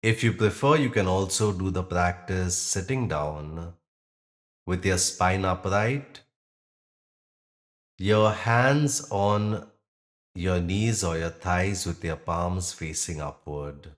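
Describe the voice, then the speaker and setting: A man leading a guided yoga nidra meditation, speaking calmly and gently in short, unhurried phrases with pauses. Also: sits low. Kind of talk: guided meditation, slow instruction with long pauses.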